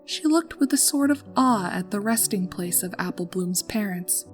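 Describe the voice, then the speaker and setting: A woman reading a horror story aloud in a calm, steady narrating voice. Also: medium pitch, moderate pace, soft background music.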